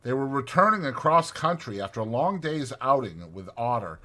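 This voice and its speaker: Bright, forceful man narrating a storybook. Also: medium pitch, big pitch swings, normal speed. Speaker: audiobook narrator. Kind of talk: dramatized literary storybook narration.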